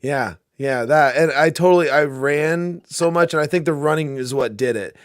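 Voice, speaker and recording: A man with a hoarse, worn voice co-hosting a podcast, talking in a loose, rambling way and swearing often. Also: a little high, moderate pace, dry recording, clean studio sound. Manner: laid-back, swearing, rambling. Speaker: podcast co-host. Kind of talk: casual chat.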